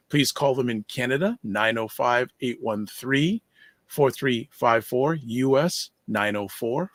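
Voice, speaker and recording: An upbeat male host opens a casual online morning show in an average-pitched voice. He reads sponsor phone numbers slowly and deliberately. The sound is dry and close, with no room echo. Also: a bit bright. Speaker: podcast host.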